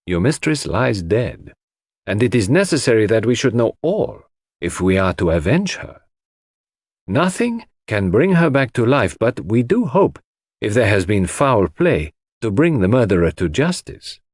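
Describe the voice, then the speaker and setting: A man's voice: on the low side, sing-song, a little slow, breathy edge, dry recording, studio quality, heavily acted. Audiobook sleuth, man acting: polite, formal, businesslike interrogator, persuasive, with widely moving intonation. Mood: earnest, persuasive. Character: courteous, businesslike, probing male detective.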